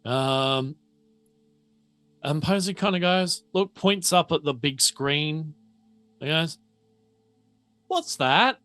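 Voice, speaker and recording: Slow-paced man running a game. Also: fairly high pitch, expressive, a bit bright, no room sound. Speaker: game master. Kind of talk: narrating a tabletop RPG, casual.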